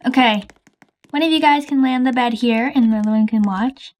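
Cast: woman as a brisk, reassuring doctor, somewhat high voice, game roleplay. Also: lightly acted. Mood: calm. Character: calm, practical female doctor. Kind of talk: improvised game roleplay voice-over.

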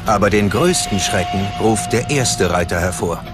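A man's voice: on the low side, lively intonation, normal speed. A man narrating a scripted documentary in a grave, dramatic manner. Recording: prominent music bed under voice-over. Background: music.

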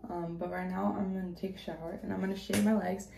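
Hoarse, deep-voiced teen girl vlogger. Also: level tone, fast, dark tone, a little hiss or hum. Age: teens. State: hoarse from yelling. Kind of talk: casual vlog chat. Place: bedroom at home. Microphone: phone camera at arm's length.

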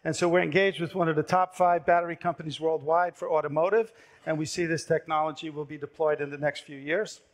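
A middle-aged man pitches his company from a big stage, speaking through a headset mic. His voice sits a little high for a man and is turning hoarse as he goes. He delivers it in a brisk, persuasive sales manner, with the odd filler. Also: steady tone. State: losing his voice. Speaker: startup pitch presenter. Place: large dark conference stage.

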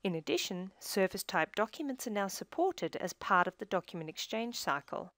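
A woman reading scripted software tutorial narration in a measured, instructional manner, recorded as a close-miked voice-over. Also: medium pitch, very animated, no room sound.

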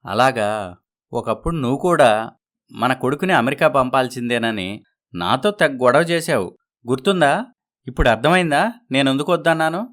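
A man playing a regretful elderly village father in an animated moral story, gravely giving advice. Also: mid-range voice, normal speed, long pauses, lightly acted. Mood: reflective.

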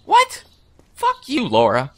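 A man's voice: very high voice, huge pitch swings, takes it slowly, sharp tone, dry recording, heavily acted. A man playing a sullen, sarcastic, foul-mouthed teenage boy in an audio drama. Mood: angry.

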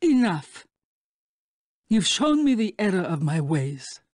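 An actress voicing a scheming spider countess in a video game, theatrical and condescending. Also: female voice, fairly low pitch, very animated, slow, darkish tone, no room sound, studio quality, exaggerated voice. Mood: scornful, menacing.

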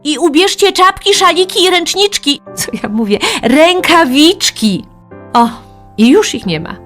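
Woman as a high-voiced, fussing young mother. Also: lively intonation, measured pace, fairly bright tone, faint music behind, heavily acted. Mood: caring, fussing. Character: caring, slightly scatterbrained mother. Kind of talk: children's Christmas audio play acting.

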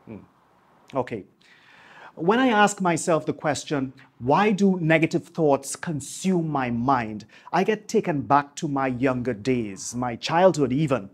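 A man, presenting a scripted piece to camera in a polished, measured broadcaster's delivery on a lapel mic in a home office. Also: on the high side, lively intonation, husky. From Trinidad and Tobago. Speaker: YouTube host, marketing coach. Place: small home office, indoors.

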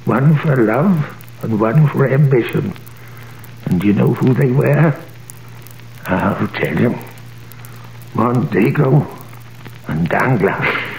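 A gravelly, bitter old tailor. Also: male voice, medium pitch, animated, takes it slowly, pauses often, darkish tone. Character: bitter older tailor, gossipy with a scoffing laugh. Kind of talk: 1930s radio drama acting. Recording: echoey vintage radio broadcast with faint hiss.

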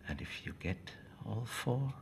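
An actor playing an urbane, menacing Nazi officer, with a German accent and a polite, precise, savouring manner. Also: male voice, mid-range voice, lively intonation, measured pace, creaky, noisy background. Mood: coolly threatening.

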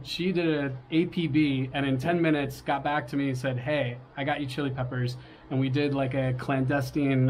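Upbeat forties man, bit high-pitched, cooking vlog host on a porch; faint outdoor hum behind. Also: poor audio. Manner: upbeat, laughs often. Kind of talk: casual chat.

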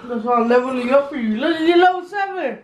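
A man's voice: extremely high pitch, animated, quick pace, soft background music. Swearing young man gaming in echoey room. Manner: swearing, distracted. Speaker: gamer cousin. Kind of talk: casual chat while gaming. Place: bedroom, playing a console. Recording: echoey room, faint background noise.

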